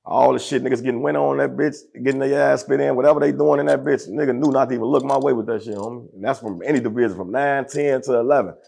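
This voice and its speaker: A man with a deep, gravelly voice talks fast and aggressively, in heavy street slang. He sits as an interview guest in casual conversation. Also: fairly even intonation, darkish tone.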